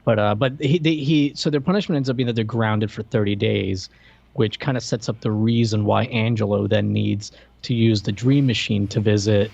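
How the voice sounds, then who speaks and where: A man co-hosting a podcast, discussing film casually and feeling his way through his thoughts in a halting manner. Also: on the low side, steady tone, gravelly, darkish tone, lo-fi sound. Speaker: podcast co-host.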